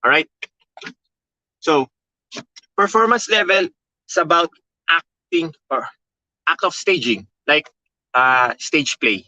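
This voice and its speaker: Filipino guy doing a motivational webinar, loud punchy bright voice, emphatic, repeats himself, really dry mic. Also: animated, slow pace, long pauses, no echo, low-quality recording. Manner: high-energy, emphatic, repeats key phrases; checks in often with okay, all right. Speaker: content creation coach.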